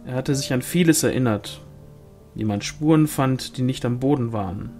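A man's voice: mid-range voice, moderate pace, mellow. Male audiobook reader, medium register, scripted fantasy narration over a music bed.

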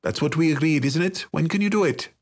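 A man voicing an irritable, rough-spoken teenage ranch lad in a fantasy storybook, with a nasal voice. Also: on the high side, animated, fast talker, darkish tone, pitched above the performer's own voice. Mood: casual.

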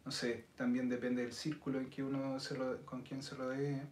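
A man in his thirties with a Chilean accent, a podcast guest explaining things in a thoughtful, measured way. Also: mid-range voice, little pitch movement, a little mellow. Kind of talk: casual chat. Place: indoor studio set with city backdrop. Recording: roomy sound with faint noise.

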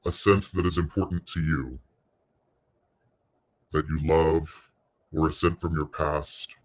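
A man leading a guided meditation in a soothing, unhurried way, pausing between short phrases. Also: a bass voice, little pitch movement.

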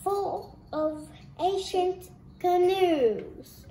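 A young-sounding reader going through a storybook aloud haltingly, word by word, repeating prompts. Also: very high voice, mellow, echoey room, slight background noise.

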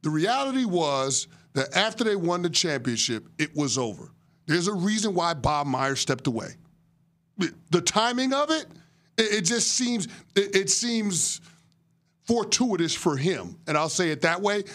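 High-voiced blunt male sports analyst. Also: sing-song, a little slow, fairly bright tone. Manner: emphatic, blunt, jokey. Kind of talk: studio sports debate. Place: TV studio. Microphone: desk boom mic.

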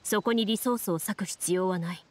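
A woman's voice: mid-range voice, a little fast, natural voice. A woman voicing a serious, composed young duelist in an anime game, explaining formally like a game master. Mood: composed, instructive. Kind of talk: Japanese anime game voice acting. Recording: studio voice with music underneath.

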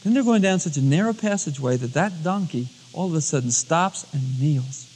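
A man's voice: on the high side, lively intonation, brisk, a little mellow, a little hiss or hum. A man preaching a storytelling sermon as a pastor, acting out dialogue in everyday colloquial words. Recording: long hall reverb, cassette tape transfer.

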